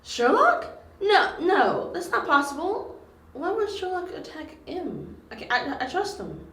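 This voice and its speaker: An earnest, loyal young detective's partner, a boy played by a woman, has a high voice. It is an amateur audio drama with roomy sound. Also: expressive, fairly quick, a bit bright. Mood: disbelieving. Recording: roomy amateur home recording.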